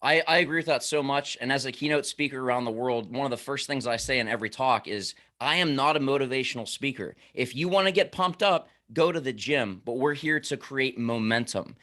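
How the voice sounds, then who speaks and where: Host: man, mid-pitched, upbeat and emphatic, preacherly real-talk delivery. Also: brisk, hardly any pauses, bright, forceful tone. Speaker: podcast host. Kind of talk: casual interview.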